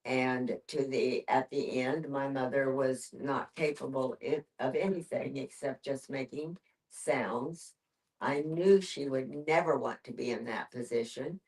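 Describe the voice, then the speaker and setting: A woman's voice: rumbling low, darkish tone. An older woman sharing personal reflections in a group discussion in a plainspoken way. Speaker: audience participant. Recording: voice distant from the microphone.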